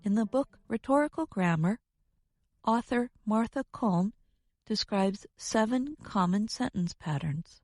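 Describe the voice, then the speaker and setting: Woman, podcast co-host, careful instructional narration, unhurried pace, dry studio sound. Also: mid-range voice, animated, a little mellow, no room sound, soft background music. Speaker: co-host of an English lesson podcast. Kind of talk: scripted educational narration.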